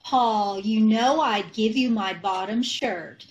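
A woman's voice: medium pitch, slow pace, dull audio, lightly acted. A woman playing a scatterbrained, agreeable wife in an old-time radio comedy, ditzy and earnest, over a video call. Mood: chirpy, agreeable. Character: scatterbrained, agreeable wife who mangles sayings.

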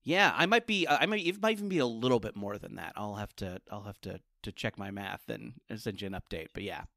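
A man's voice: lowish voice, lively intonation, fairly quick, creaky, pushed and bright, no echo, clean studio sound. A middle-aged American man chatting casually as a podcast guest, rambling along in an informal, self-deprecating way. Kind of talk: casual interview chat.

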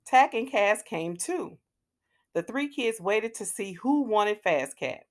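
A woman narrates a children's storybook at a slow, deliberate pace. Her pitch moves expressively up and down, clearly aimed at young children. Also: animated. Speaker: children's read-aloud host.

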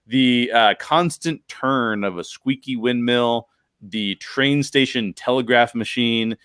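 An American man in middle age hosts a film podcast with informal enthusiasm. His voice sits at an ordinary male pitch, recorded close and dry on a podcast microphone. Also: slow pace, a bit bright, clear, resonant tone, no room sound. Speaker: podcast host. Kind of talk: conversational podcast introduction and film discussion. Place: bedroom at home. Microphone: close podcast microphone with headphones.

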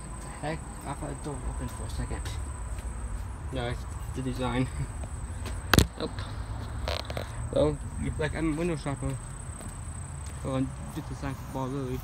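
A man's voice: medium pitch, fairly even intonation, normal speed, long pauses. A man casually narrating a walk around an empty restaurant, talking offhandedly to his phone outdoors. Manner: offhand, mumbling, quietly amused. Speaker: urban explorer vlogger. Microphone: handheld phone. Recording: loud outdoor phone recording. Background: traffic and insects.

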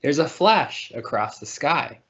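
A man's voice: fairly high pitch, very animated, unhurried, a bit bright. A man reading a children's picture book aloud over a video call. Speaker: storybook reader. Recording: muffled, lo-fi video call audio.

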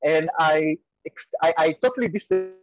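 A man's voice: on the high side, normal speed, highs cut off. A man in his forties from Israel with an Israeli accent, a guest professor explaining earnestly and steadily on a webinar call. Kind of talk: webinar interview answer. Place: home room on a video call, virtual background. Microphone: earphone mic on a video call.

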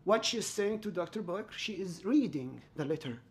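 A man's voice: high voice, animated, moderate pace. A man giving an aggrieved, repetitive commentary on his own dispute, addressing viewers directly. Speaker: student telling his own complaint. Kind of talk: personal commentary over recordings.

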